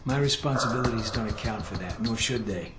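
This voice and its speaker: A man's voice: medium pitch, a little fast, lightly acted. A man playing a curt, condescending intelligence analyst in a video game, with dry, clipped, formal delivery. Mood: cool. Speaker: intelligence analyst and mission handler. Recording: roomy echo, loud game music behind.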